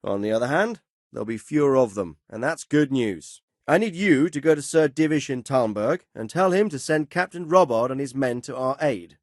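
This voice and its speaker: A man's voice: medium pitch, expressive, dry recording, lightly acted. Medieval game nobleman, played by a man: mid-range male voice, stern, formal, wry commander, very dry recording. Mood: measured, commanding. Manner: authoritative, measured, formal, wryly sardonic. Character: stern, dry-witted medieval lord commanding his men. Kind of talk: video game dialogue acting.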